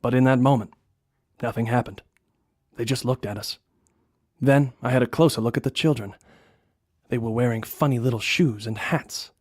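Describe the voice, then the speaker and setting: A man playing a hard-boiled, world-weary police detective in a noir radio drama, terse and deadpan. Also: mid-range voice, normal speed, long pauses, no room sound, clean studio sound, close to an everyday voice. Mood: brooding.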